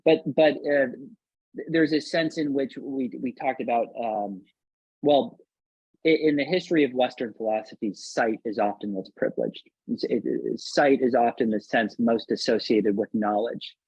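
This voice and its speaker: A man's voice: mid-range voice, a little mellow, breathy edge. A man moderating an academic panel, speaking in a hesitant way and often repeating words as he works through a thought. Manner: halting, hesitant, repeats words mid-sentence. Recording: muffled, roomy, lo-fi sound.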